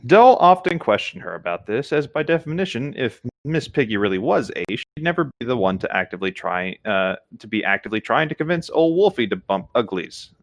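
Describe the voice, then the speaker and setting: Lively man reading aloud, very dry sound. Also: mid-range voice, a little fast, hardly any pauses, no room sound. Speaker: co-host reading a story aloud. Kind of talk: read-aloud with casual commentary.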